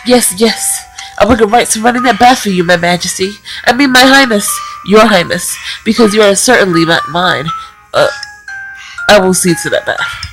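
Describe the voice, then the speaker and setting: A woman's voice: mid-range voice, moderate pace, fairly bright tone, soft background music, close to an everyday voice, poor audio. A woman voicing a timid, flustered young mage in a visual novel, hesitant, stammering and deferential. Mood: flustered, awkward. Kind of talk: visual novel character read-aloud.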